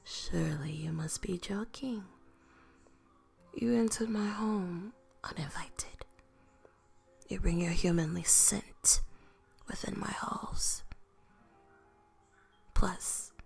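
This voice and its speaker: Slow, whispering noblewoman vampire, acted by a woman. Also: lowish voice, a little mellow, soft background music, exaggerated voice. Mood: cold, mocking. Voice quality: breathy. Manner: whispered, slow, taunting, formal old-world phrasing. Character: haughty, menacing, seductive noble female vampire. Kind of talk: ASMR roleplay.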